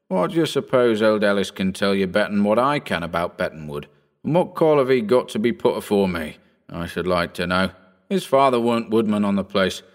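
A gruff old countryman near eighty grumbles in thick rustic dialect, proud and touchy, as a male reader puts him on. His voice sits low and even. He speaks with the slow weight of a village elder. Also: close to an everyday voice. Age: older.

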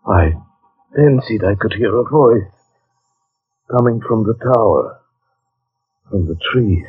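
A troubled old-time radio narrator, really low voice, intense and haunted, muffled vintage sound. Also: male voice, lively intonation, takes it slowly, faint music behind. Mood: brooding. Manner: dramatic, hushed narration breaking into anguished pleading. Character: troubled gentleman narrator, haunted and torn by longing. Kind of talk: 1940s radio drama acting. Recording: dull 1940s radio recording.

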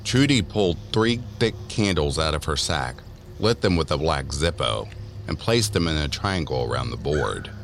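A man's voice: a bass voice, expressive, moderate pace, gravelly, a bit bright, soft background music. A man narrating a scripted horror story as the narrator of an audio drama.

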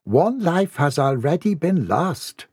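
A man's voice: a little high, big pitch swings, slow, a little mellow, breathy edge, no echo, studio quality, voice pushed up, full character voice. A man voicing an anxious but authoritative woman housekeeper in a story. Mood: troubled. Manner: commanding, grave.

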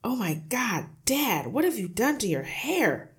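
Woman playing a loud, blunt young son. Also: low voice, lively intonation, takes it slowly, breathy edge, heavily acted. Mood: shocked, blunt.

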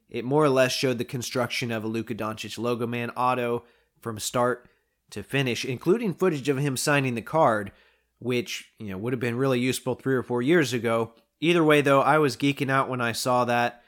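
A man's voice: mid-range voice, a bit bright. A man hosting his own podcast in casual solo talk, chatty and enthusiastic, getting sick and losing his voice. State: coming down with a cold. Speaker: podcast host.

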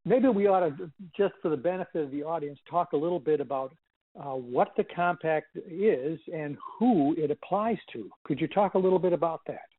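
A man's voice: on the high side, normal speed, slightly rough, no room sound. A man asking questions conversationally as an interviewer on a podcast. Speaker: interviewer, former school superintendent. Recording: dry, tinny phone line.

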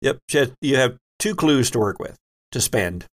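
A man runs a tabletop role-playing game as host. His voice sits at a middling pitch with a noticeable fry. He explains as he goes, stopping to correct himself. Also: expressive, normal speed.

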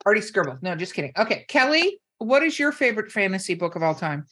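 A woman's voice: medium pitch, very animated, quick pace, background noise. Woman hosting a casual podcast, teasing and salty. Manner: bossy teasing, salty swearing. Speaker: podcast host. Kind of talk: casual chat, rapid-fire questions to guests.

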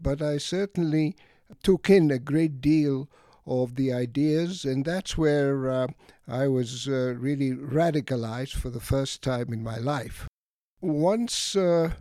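An elderly man from Egypt and Greece, an academic guest reflecting on his life in a halting, deliberate way with many pauses. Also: fairly high pitch, dark tone, no room sound, studio quality. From Egypt and Greece, of Greek parents. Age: late 70s or older. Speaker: interview guest, economics academic. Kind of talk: reflective autobiographical interview talk.

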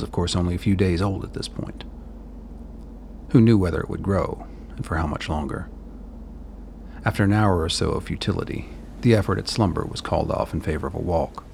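Man, deep voice, creaky fry, measured literary narration, radio play, faint background noise. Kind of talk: scripted dramatic story narration.

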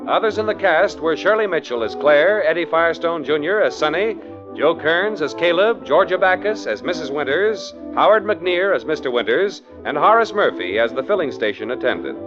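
A man's voice: a little high, expressive, moderate pace, music in the background. A polished, salesmanlike man announcing and advertising in 1940s radio style, on a muffled vintage broadcast recording.

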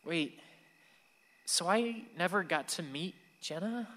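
A man's voice: high voice, animated, takes it slowly, pushed and bright, full character voice. A man acting a wistful, bitter son of a grieving mother, telling his story earnestly in a radio drama. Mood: wistful, hurt. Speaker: actor in a radio play. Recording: long hall reverb.

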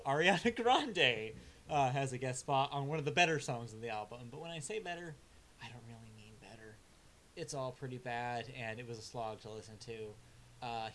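A man's voice: medium pitch, a bit bright. A man chats casually through the week's album reviews, his talk full of filler sounds and restarts. His verdicts land blunt and dry. A faint hiss sits under the recording. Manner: laid-back, hesitant, many filler sounds. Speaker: music review co-host.

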